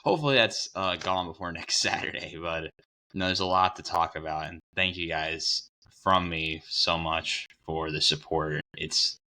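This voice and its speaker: Young male sports host, hoarse, low-voiced, bright and forceful, upbeat and rambling. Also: moderate pace. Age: young adult. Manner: rambling, self-correcting, upbeat. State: hoarse with a cold. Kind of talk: casual sports preview talk.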